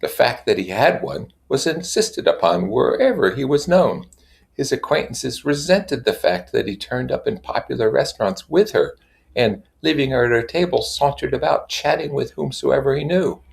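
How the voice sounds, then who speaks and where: A man reading literature aloud in a measured way, with casual explanatory asides. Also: mid-range voice, expressive, creaky. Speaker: reader and commentator.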